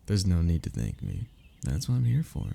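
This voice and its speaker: A young man acting an affectionate, playful best friend in an intimate audio roleplay, soft-spoken and gently teasing, with a gravelly, husky voice. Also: deep voice, huge pitch swings, normal speed, a little hiss or hum, exaggerated voice. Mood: earnest, affectionate. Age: young adult.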